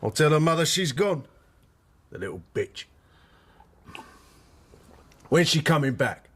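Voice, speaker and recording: An actor in a radio drama playing a gruff, suspicious middle-aged father with a rasping voice and curt, demanding manner. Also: on the high side, lively intonation, a little slow, fairly bright tone, exaggerated voice. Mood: suspicious, bitter. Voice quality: very husky, rasping.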